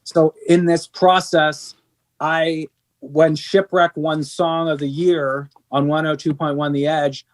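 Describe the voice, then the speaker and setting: A middle-aged man, a band's singer, talking earnestly in an interview, rambling with many restarts and fillers. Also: a little high. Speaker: band singer. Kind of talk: candid interview conversation.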